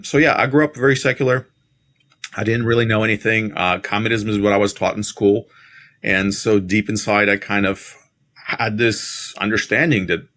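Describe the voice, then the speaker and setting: A middle-aged man from Siberia with a Russian accent, telling his life story in a halting, reflective, conversational way as a podcast guest. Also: lowish voice, vocal fry, a bit bright.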